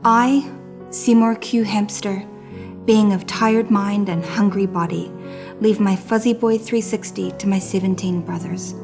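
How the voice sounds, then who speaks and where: Female reader as small male hamster, storybook diary, dramatic, fretful, hushed suspense, creaky edge, backed by music. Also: mid-range voice, fairly even intonation, unhurried, lightly acted. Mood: doomed, weary. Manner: melodramatic storytelling with hushed suspense and emphatic exclamations. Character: dramatic, anxious little male pet hamster telling his diary. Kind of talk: children's storybook reading.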